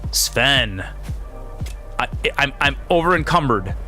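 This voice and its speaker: Man, thirties, bright forceful voice, casual game commentary, slangy and rambling, music behind. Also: medium pitch, expressive, takes it slowly. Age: 30s. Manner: rambling, slangy, often says "dude". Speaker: gaming video creator and streamer. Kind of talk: casual chatty gameplay commentary.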